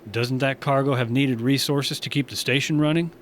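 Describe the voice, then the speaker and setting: A man's voice: medium pitch, fairly even intonation, moderate pace, slight background noise, natural voice. An actor playing an easygoing, wry male space station bartender with a casual way of talking. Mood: easygoing, concerned.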